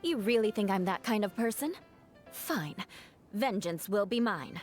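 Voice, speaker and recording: A woman's voice: mid-range voice, lively intonation, unhurried, faint music behind, lightly acted. A woman playing a confident, encouraging young dancer in a fantasy video game, with an assured, direct delivery. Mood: teasing.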